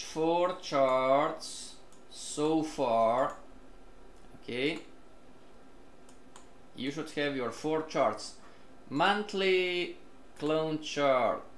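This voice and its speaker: A man's voice: on the high side, reverberant, a little hiss or hum. A man teaching a live online trading class, repeatedly questioning and prompting his students as a group. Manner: repetitive, questioning, addresses the group often.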